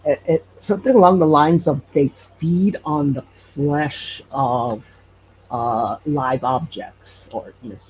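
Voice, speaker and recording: A man telling personal stories conversationally as a podcast guest, hesitant and often pausing mid-phrase. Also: a little high, a little mellow. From Hawaii. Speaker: podcast guest, paranormal storyteller and investigator. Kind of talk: conversational personal storytelling in an interview. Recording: muffled lo-fi with faint background noise.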